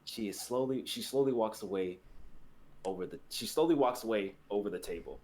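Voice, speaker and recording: A man's voice: on the low side, brisk, a little mellow. A young man reading scripted dramatic fantasy narration for a livestream. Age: young adult.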